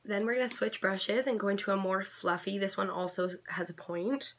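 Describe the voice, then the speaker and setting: A young woman giving a casual makeup tutorial in a chatty, gentle instructional way, her voice a little off because she is coming down with something. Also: mid-range voice, normal speed, slight background noise. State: slightly sick, voice a bit off. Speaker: makeup vlogger.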